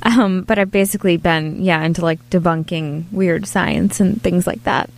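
A woman's voice: on the low side, moderate pace, vocal fry. A woman hosting an interview podcast in a casual, conversational style. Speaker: podcast and radio interview host. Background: music.

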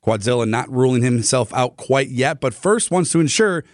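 A man reading a scripted sports report on a morning radio show, brisk and even. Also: medium pitch, dry recording, studio quality. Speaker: sports news reader.

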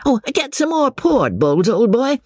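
A narrator voicing a tipsy young English gentleman student, boastful and jovial, rambling in slang and laughing at himself. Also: medium pitch, big pitch swings, normal speed, dry recording, clean studio sound, pitched above the performer's own voice.

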